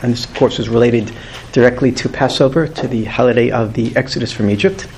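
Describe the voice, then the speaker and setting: A man's voice: medium pitch, steady tone, fast talker, a little mellow, a little hiss or hum, poor audio. Earnest man teaching a Torah class. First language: not English. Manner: earnest, emphatic, rising on key words. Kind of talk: weekly Torah class lecture. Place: classroom with a live group.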